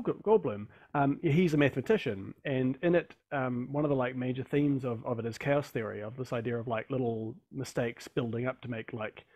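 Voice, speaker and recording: A man in his thirties talks casually about film on a panel. His voice sits at an ordinary pitch and he rambles, often cutting into his own sentences. The sound is dry and close. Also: a little mellow, no room sound. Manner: rambling, self-interrupting. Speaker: podcast panellist. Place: room at home, video call.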